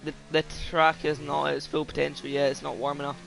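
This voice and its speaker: A man's voice: a little high, completely flat delivery, quick pace, vocal fry, fairly bright tone. A young Scottish man co-commentating an esports race, blunt and stumbling in casual chat, on a headset. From Scotland.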